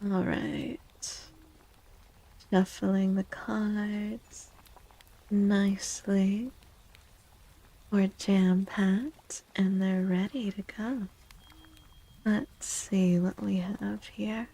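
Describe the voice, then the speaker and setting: Soft, creaky-voiced woman doing ASMR. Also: medium pitch, fairly even intonation, very slow, long pauses, poor audio. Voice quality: soft, breathy, often dropping into a whisper. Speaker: live streamer doing tarot readings for chat.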